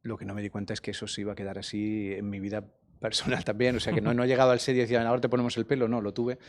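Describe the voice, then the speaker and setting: A man, an actor, giving measured, reflective answers in a festival press interview. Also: fairly low pitch, level tone. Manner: measured, reflective, explains at length.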